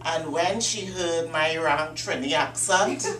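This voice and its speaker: A woman giving a prepared humorous speech at a club meeting, telling her story with deliberate, dramatic pauses. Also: low voice, fairly bright tone. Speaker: Toastmasters club speaker. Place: meeting room. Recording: echoey room, distant mic, faint hum.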